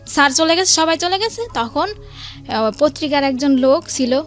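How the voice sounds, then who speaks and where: A woman's voice: high voice, animated, moderate pace, faint music behind. A woman with a Bangladeshi accent giving a spontaneous personal account as a guest, emphatic, often repeating and quoting others. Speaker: guest recounting a family incident.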